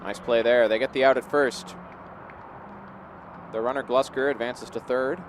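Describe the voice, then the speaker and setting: A man's voice: mid-range voice, fairly even intonation, normal speed. Man calling baseball, noisy outdoor background. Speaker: play-by-play baseball commentator. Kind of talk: live sports commentary. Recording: clear outdoor background noise.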